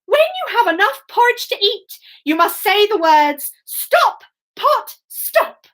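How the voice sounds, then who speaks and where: A woman voicing a gentle, mysterious magical lady in a children's story, solemnly giving instructions. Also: very high voice, sing-song, takes it slowly, voice pushed up, exaggerated voice. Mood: instructing, mysterious.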